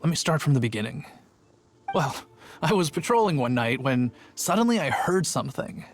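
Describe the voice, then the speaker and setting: A man's voice: a little high, very animated, normal speed, soft background music. An actor playing a chatty, earnest young male town guard telling his tale in a video game. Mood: eager storytelling. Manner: storytelling, earnest.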